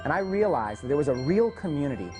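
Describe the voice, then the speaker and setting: Upbeat man with a lively voice. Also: a little high, fast talker. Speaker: testimonial speaker. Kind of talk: personal testimonial. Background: faint background music.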